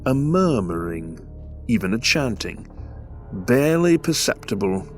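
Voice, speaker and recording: An actor playing a polite, reserved young English heir, speaking in courteous, formal phrases in an audio drama. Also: male voice, medium pitch, big pitch swings, unhurried, faint music behind. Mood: brooding. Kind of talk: scripted audio drama with first-person narration.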